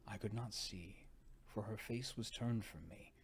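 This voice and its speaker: A man with a fairly low voice narrates an old gothic tale. His delivery is theatrical and measured as scripted storybook reading. Faint noise runs behind him. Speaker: audiobook reader.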